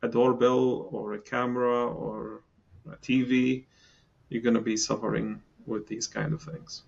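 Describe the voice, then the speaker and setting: A man's voice: medium pitch, flat delivery, measured pace, creaky, slightly rough, lo-fi sound. A middle-aged man from Palestine, a guest in a podcast interview, talking with many fillers and restarts. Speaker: engineering guest.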